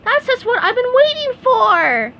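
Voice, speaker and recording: A woman doing a squealing excited cartoon sponge. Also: very high-pitched, a little slow, highs cut off, a little hiss or hum, pitched above the performer's own voice, full character voice. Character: cheerful excitable male sea sponge.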